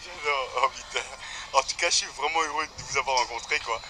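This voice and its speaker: Enthusiastic man, bright voice. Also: mid-range voice, a little fast, a little hiss or hum, low-quality recording. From Belgium. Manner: enthusiastic, repeats words. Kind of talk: casual chat.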